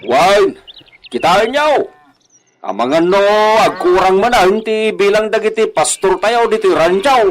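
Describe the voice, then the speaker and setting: A man's voice: sits high, animated, measured pace, poor audio. A man playing a commanding ranch boss in a radio drama, giving orders with authority. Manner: instructing, authoritative.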